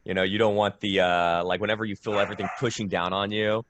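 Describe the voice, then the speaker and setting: A man's voice: lowish voice, level tone, quick pace, a bit bright, no echo. A man interviewing a guest about video games in an informal, somewhat hesitant way.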